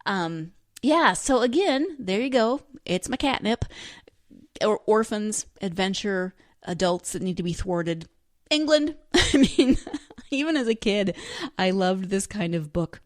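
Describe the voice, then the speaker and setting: A woman's voice: medium pitch, expressive, a little slow, no echo, clean studio sound. A woman hosting a podcast, chatting enthusiastically about books in a giggly, rambling way full of asides. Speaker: podcast host. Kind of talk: chatty solo book review talk.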